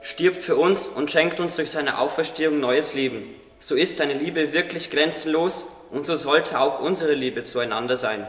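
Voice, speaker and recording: A man's voice: on the high side, normal speed, fairly bright tone, lightly acted. A man playing a playful, loyal talking bird in a German radio play. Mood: earnest. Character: playful teasing bird, earnest loyal friend. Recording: distant, echoey hall.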